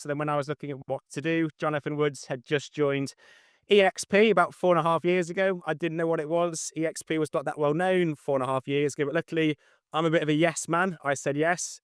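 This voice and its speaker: A middle-aged man giving a casual interview as a guest, slightly monotone, speaking matter-of-factly in clipped phrases. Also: on the high side, clear, resonant tone, no room sound, studio quality. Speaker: interview guest, estate agent.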